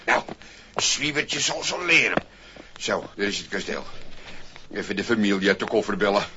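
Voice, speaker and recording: An actor playing a roguish, chatty Dutch tramp with a folksy, rambling manner in a 1960s radio play. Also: male voice, lowish voice, animated, normal speed, lightly acted. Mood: relieved, muttering. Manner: hesitant, rambling, folksy muttering. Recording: noisy, dull 1960s vinyl record.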